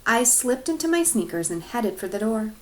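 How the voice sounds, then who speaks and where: A woman teacher reading a storybook aloud to her class in an expressive narrating style. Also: fairly high pitch, moderate pace, poor audio.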